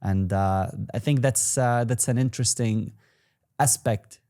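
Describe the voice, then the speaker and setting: A man's voice: mid-range voice, a little slow, mellow. A young man in his twenties whose first language is Arabic, talking casually as an interview guest, rambling with restarts and fillers. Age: 20s. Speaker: guest entrepreneur.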